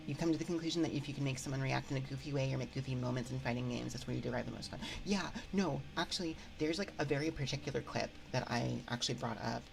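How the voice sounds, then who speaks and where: A woman hosting a casual livestream chat, thinking aloud in a hesitant, halting way into a close microphone. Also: a bass voice, gravelly, low-quality recording. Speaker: livestream host. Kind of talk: casual livestream chat, answering viewer comments. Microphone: close binaural microphone.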